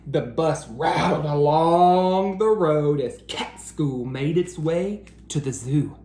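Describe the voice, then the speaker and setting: A woman reading a picture book aloud to children as a teacher, in a deliberate, engaging storytelling style. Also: low voice, expressive, warm tone, roomy sound. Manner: deliberate, engaging, asks listeners questions. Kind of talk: animated read-aloud storytelling.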